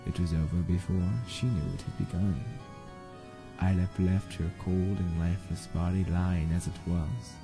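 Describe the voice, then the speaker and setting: A man narrates an audio drama with deliberate, dramatic phrasing. His voice is low and dark with a gravelly creak, over faint music. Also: steady tone.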